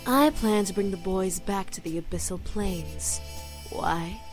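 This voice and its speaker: A sly, seductive succubus demon played by a woman speaks at an unhurried pace over music. She is haughty and condescending, drawing out her taunts like a visual novel villainess toying with a lesser creature. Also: medium pitch, natural voice, poor audio. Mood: smug, commanding. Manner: teasing, haughty, drawn-out taunting delivery.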